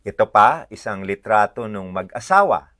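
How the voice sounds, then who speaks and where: A man with a Filipino accent presents a picture book in an informal read-aloud style. His voice sits on the low side. He moves through his commentary haltingly, often pausing to find the next word. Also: expressive, bright, forceful tone.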